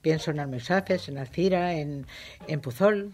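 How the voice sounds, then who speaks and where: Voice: medium pitch, measured pace, darkish tone, a little hiss or hum. A radio interviewer asking questions in a courteous, inquisitive way.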